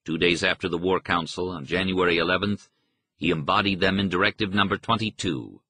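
A man narrating a history book from a script as an audiobook reader, in a measured, formal and articulate manner. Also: deep voice, steady tone, pushed and bright, slightly rough, no echo.

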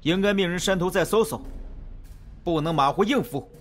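A man playing a cold, loyal imperial minister in a Chinese period audio drama, formal, deliberate and coolly menacing. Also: high-pitched, a little hiss or hum, natural voice. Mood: cold, controlled.

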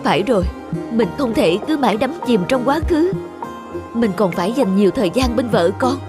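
An actor playing an earnest inventor, a devoted husband and son, in a cartoon. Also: male voice, extremely high pitch, animated, moderate pace, music in the background. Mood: resolved. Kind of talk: cartoon voice acting.

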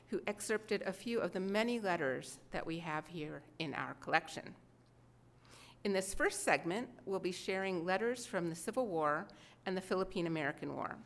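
A middle-aged woman presenting a program of readings, speaking scripted narration at a podium microphone in a gallery. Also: medium pitch. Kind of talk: scripted narration to a live audience. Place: indoor museum gallery. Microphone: podium gooseneck microphone.

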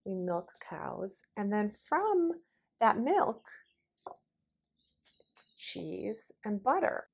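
Middle-aged female preschool teacher, unhurried delivery with big swings in pitch, plain phrases for little kids. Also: sing-song, vocal fry, slightly rough. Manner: simple deliberate phrasing with questions to young children. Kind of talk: children's lesson and read-aloud.

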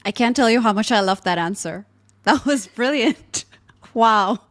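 A woman's voice: fairly high pitch, expressive, a little slow, dry recording. Young woman host, enthusiastic, dry close sound, studio mic. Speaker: podcast host, speaking coach. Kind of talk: interview host. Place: home room, indoors. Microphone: studio mic on boom arm, video call.

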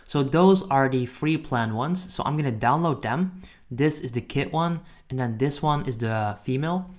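A man hosts a relaxed software walkthrough for online viewers. His voice sits at an average pitch, and he talks informally, as if chatting to the people watching. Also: normal speed. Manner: informal, addresses viewers as guys. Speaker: software reviewer and tutorial host. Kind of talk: casual screen-recording walkthrough.

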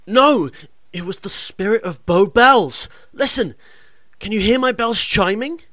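Bright, high-pitched young pantomime hero played by a man. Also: unhurried, no room sound, clean studio sound. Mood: eager. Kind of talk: comic radio pantomime acting.

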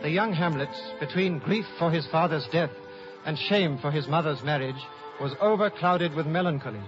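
Male actor as earnest scholar, theatrical, higher register, muffled vintage radio, music behind. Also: natural voice. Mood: solemn. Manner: measured, solemn, theatrical. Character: Horatio, earnest loyal scholar recounting a tragedy. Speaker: narrator. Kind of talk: 1950s radio Shakespeare acting and narration. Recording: muffled 1950s radio broadcast.

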